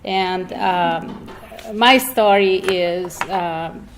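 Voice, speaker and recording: Woman, lowish voice, measured and earnest panel talk, light room noise behind. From Brazil. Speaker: panel speaker, state health agency worker. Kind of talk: public panel talk, personal and reflective. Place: indoor library event. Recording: clearly noisy room ambience.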